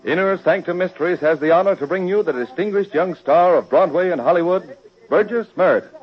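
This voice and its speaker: A man's voice: high voice, brisk. A man announcing in a formal 1940s radio style. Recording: muffled 1940s radio recording. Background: faint music.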